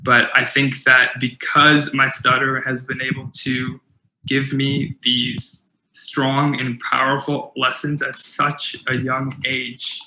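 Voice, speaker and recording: A man's voice: medium pitch, completely flat delivery, slow pace, echoey room, background noise. A young man giving an earnest motivational keynote, with stuttered repetitions and fillers. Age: young adult. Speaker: keynote speaker.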